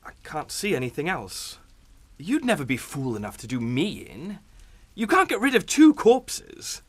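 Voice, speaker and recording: An actor playing a hearty, blustering English captain with an old-fashioned upper-class manner in a radio suspense drama. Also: male voice, fairly high pitch, wildly swooping pitch, unhurried, no echo, full character voice. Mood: nervous reasoning. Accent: British. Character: blustering, genial English captain, an uneasy guest.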